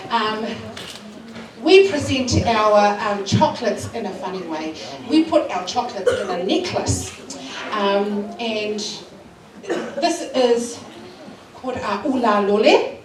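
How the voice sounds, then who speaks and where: A woman's voice: medium pitch, animated, slow, long pauses, crowd noise. A woman with a New Zealand accent, addressing a school assembly and giving emotional thanks with frequent fillers. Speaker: school culture week organiser. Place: school hall. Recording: echoey hall, far from mic. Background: hall ambience and noise.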